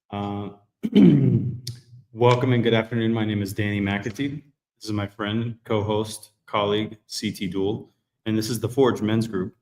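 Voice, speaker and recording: Low-voiced man hosting, echoey room. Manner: relaxed, rambling, self-correcting. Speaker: host. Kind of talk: casual live chat.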